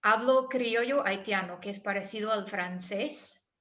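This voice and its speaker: A woman's voice: mid-range voice, unhurried, a bit bright. A woman in her thirties with an American accent, a guest musician answering children's questions in friendly, simple replies. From United States. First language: English. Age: 30s.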